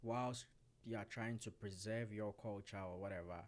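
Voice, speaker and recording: A man's voice: lowish voice, steady tone, moderate pace. A man co-hosting a casual podcast, telling personal stories candidly and asking questions. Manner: storytelling, candid, asks questions, laughs easily.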